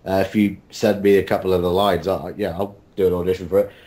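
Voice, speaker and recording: A man chatting casually as a voice actor guest, with halting, hesitant phrasing. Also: low voice, level tone, warm tone. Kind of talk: casual chat.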